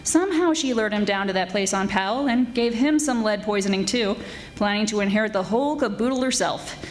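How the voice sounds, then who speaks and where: A woman's voice: medium pitch, a little fast, close to an everyday voice, poor audio. A woman playing a tough, wisecracking 1940s private detective in a live radio-style drama. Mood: cool, hard-boiled. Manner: wisecracking, hard-boiled storytelling. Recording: live stage performance with background noise.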